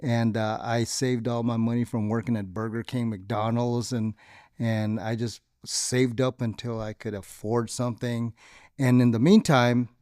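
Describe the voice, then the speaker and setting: Filipino-born man telling lowrider stories. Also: flat delivery, unhurried, a little mellow, smooth, dry recording, studio quality. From Philippines. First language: Tagalog. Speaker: guest, lowrider club member. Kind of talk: casual interview storytelling.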